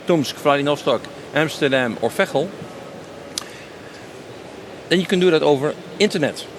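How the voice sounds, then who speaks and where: A man's voice: a little high, expressive, normal speed. A man gives spontaneous, enthusiastic interview answers as a company sales director, stressing and repeating his phrases. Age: 50s. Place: trade show stand. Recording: noisy busy exhibition location, clear background noise.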